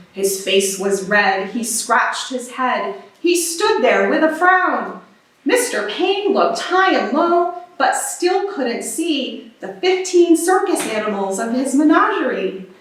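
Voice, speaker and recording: A woman reading a picture book aloud for children in an expressive, animated storytelling manner. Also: a little high, takes it slowly. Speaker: storybook reader. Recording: very echoey room, distant microphone.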